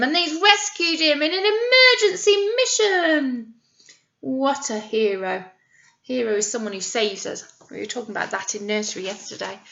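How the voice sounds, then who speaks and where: Female nursery teacher, storytime read-aloud. Slow, very bright delivery, teacherly and expressive, on a slightly dull lo-fi recording. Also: high-pitched. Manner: expressive teacherly, addressing children with questions and emphasised key words. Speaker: nursery teacher reading to children. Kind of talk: picture-book read-aloud with simple explanations for young children.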